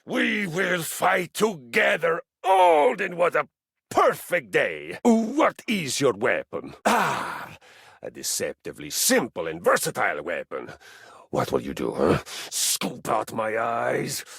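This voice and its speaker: An actor voicing a pompous, battle-hungry Viking warrior in a video game, with grandiose and jovially bellicose delivery. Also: male voice, fairly high pitch, huge pitch swings, slow, gravelly, a bit bright, breathy edge, dry recording, clean studio sound, full character voice. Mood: exuberant battle bravado. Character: boastful, battle-hungry male Viking warrior, a personified treadmill. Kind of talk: theatrical video game character acting.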